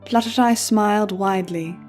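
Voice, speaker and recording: A woman's voice: medium pitch, moderate pace, a little mellow. A woman reading scripted storybook narration as the storyteller, with music playing behind her. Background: background music.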